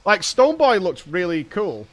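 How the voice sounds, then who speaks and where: A man's voice: sits high, sing-song, measured pace, a bit bright, faint music behind. A British man hosting a gaming show, giving casual, blunt live reactions and thinking aloud.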